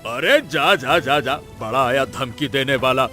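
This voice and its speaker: A man's voice: medium pitch, expressive, measured pace, sharp tone, faint music behind. A man voicing a callous, greedy village healer in a Hindi cartoon story, drawling and hemming with a dismissive sneer. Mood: mocking.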